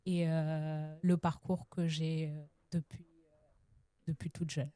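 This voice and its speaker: Young woman guest, from Senegal, hesitant and thoughtful; darker warm tone, dry close sound. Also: low-pitched, little pitch movement, takes it slowly, dry recording. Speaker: guest, association founder. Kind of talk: casual interview answers.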